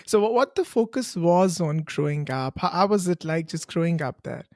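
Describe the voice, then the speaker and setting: A woman's voice: lowish voice, animated, a little mellow, no room sound, clean studio sound. A woman from Punjab, hosting a casual interview and keeping it going with short encouraging replies and laughs. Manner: encouraging, quick to laugh and give short backchannels. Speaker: podcast host.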